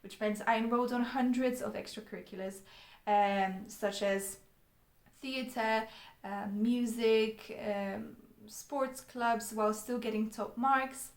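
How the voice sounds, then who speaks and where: Polish woman telling her story, flat-voiced. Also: a little high, slow pace, reverberant. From Poland. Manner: earnest, with frequent ums and restarts. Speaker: vlogger. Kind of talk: personal storytelling to camera.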